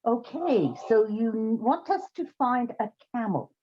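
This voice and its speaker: An actress playing a brisk, wry woman who runs an odd job agency in an old-time radio comedy. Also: a little high, lively intonation, warm tone, slightly rough. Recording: slightly dull, muffled sound.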